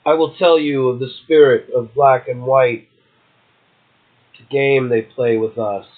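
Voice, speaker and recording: A man's voice: medium pitch, steady tone, a little slow. Man reciting poetry over a phone line. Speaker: guest poet. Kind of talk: poetry reading and casual chat.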